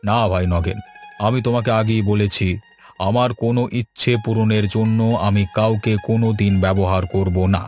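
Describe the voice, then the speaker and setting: A man playing an authoritative, principled village gentleman who loves food, speaking firmly in a cartoon. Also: lowish voice, steady tone, normal speed, natural voice. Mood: firm, assured. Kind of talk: Bengali cartoon voice acting. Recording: music behind the voice.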